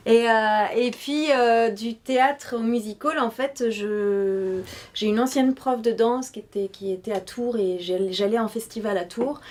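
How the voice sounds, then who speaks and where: Chatty woman, halting with fillers. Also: on the high side, fairly even intonation, a little mellow. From Paris. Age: 30s. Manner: hesitant, many filler sounds and restarts. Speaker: showgirl and actress. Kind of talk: casual interview chat.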